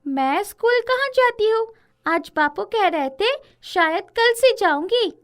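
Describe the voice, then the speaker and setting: A woman's voice: very high voice, animated, unhurried, a bit bright, pitched above the performer's own voice, full character voice. A woman voicing an innocent, eager little village girl in a storybook tale, earnest and inquisitive. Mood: cheerful.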